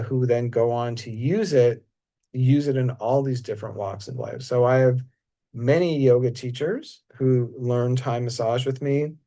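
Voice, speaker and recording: A mellow, warm-voiced man talks through his massage training in a relaxed, casual way. His pitch sits in the middle, and his delivery is unhurried and easygoing, with frequent small fillers. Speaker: massage teacher. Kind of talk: casual talk.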